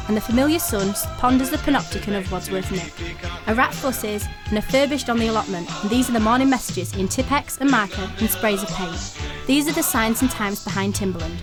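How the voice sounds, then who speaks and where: A high-pitched female voice over clearly heard music, an actress narrating a radio play as a lyrical storyteller. She recites measured, poetic lines about street life. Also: close to an everyday voice.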